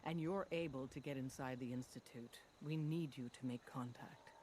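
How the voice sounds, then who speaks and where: A woman's voice: very deep voice, moderate pace, warm tone, a little hiss or hum. A woman voicing a stern, secretive underground resistance leader in a video game, speaking in a grave, commanding way. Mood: grave, urgent.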